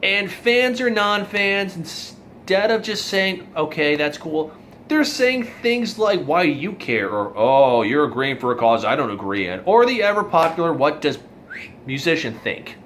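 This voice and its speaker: A man's voice: a little high, big pitch swings, measured pace, a bit bright, slight background noise. A young man ranting in a blunt, rambling monologue about online reactions, exasperated and plain-spoken. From Florida. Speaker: vlog host.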